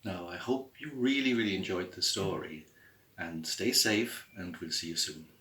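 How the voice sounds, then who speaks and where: A man's voice: low voice, moderate pace, pushed and bright. A man from a school staff reading a children's story aloud, recorded in an echoey room. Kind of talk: gentle story reading and sign-off. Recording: echoey room, distant mic.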